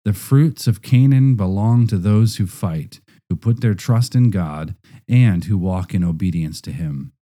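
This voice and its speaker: Deep, very mellow male narrator. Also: a little slow.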